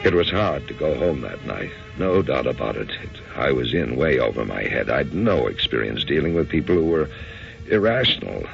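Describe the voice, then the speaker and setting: An actor playing a bewildered, conventional middle-aged American husband in a radio drama, with a gravelly, hesitant delivery. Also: male voice, very deep voice, very animated, over music, exaggerated voice. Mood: uneasy. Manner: hesitant, halting. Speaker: actor and first-person narrator. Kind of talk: old-time radio drama acting. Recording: dull, muffled radio drama recording.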